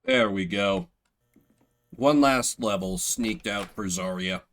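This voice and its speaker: A man's voice: on the low side, takes it slowly, fairly bright tone. A man giving playful, theatrical commentary as he streams a game, reading its text aloud.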